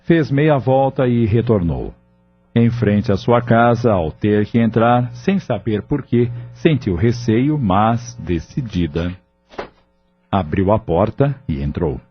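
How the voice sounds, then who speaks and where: A man with a low voice narrates an audio novel in a measured, solemn way. The recording is dull and lo-fi, with soft music running underneath. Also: expressive.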